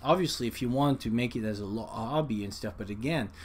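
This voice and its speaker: Male hobbyist vlogger, mid-pitched, unscripted and halting, rambling through explanations with frequent restarts; noticeable noise behind the voice. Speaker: vlogger, amateur radio hobbyist.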